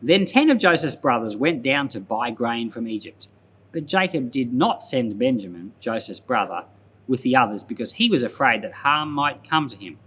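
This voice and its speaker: A man reading scripture aloud as a pastor, narrating in a deliberate, storytelling manner. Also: medium pitch, very animated, fairly bright tone, slight background noise.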